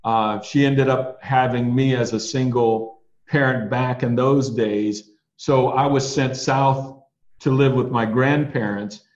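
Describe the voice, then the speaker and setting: A man's voice: medium pitch, steady tone. Older man telling stories in a roomy space. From USA, Ohio and Alabama. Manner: measured, thoughtful storyteller. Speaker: attorney guest. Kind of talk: reflective storytelling interview. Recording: dull, roomy recording.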